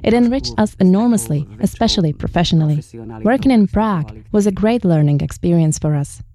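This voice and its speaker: A woman reading a translated voice-over in a calm, steady way. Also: on the low side, a little fast.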